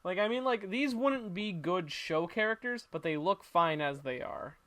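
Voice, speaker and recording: A man's voice: sits high, lively intonation, normal speed, fairly bright tone, no echo. A man co-hosting a casual podcast, hedging his points with lots of "like" and "I mean". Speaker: podcast co-host.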